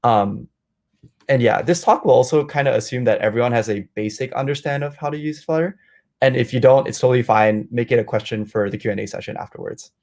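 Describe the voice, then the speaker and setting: A man from Malaysia giving a relaxed, filler-heavy presentation as guest speaker on a video call. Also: medium pitch, fairly quick. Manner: relaxed, many ums and likes. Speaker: guest speaker, software engineer. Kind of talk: online meetup presentation.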